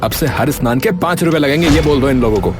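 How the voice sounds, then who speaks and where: A man voicing an exasperated, sarcastic grown son in an animated comedy, complaining emphatically. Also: mid-range voice, fast talker, background noise, close to an everyday voice. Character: exasperated, sarcastic adult son of village father. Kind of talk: Hindi animated comedy story voice acting.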